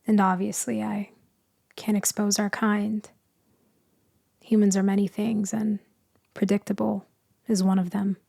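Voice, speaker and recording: Lonely immortal female vampire, played by a woman for ASMR audio roleplay: very slow, creaky, tender and haunted, slipping into whispers. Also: mid-range voice, dry recording, clean studio sound. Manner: soft, hushed, drifting into whispers.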